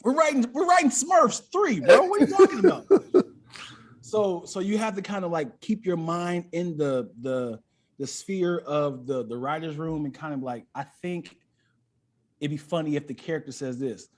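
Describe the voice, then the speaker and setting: A man's voice: on the high side, expressive, normal speed. A man talking as a comedian guest on a podcast, casual and profane, often repeating words as he finds his way into a sentence.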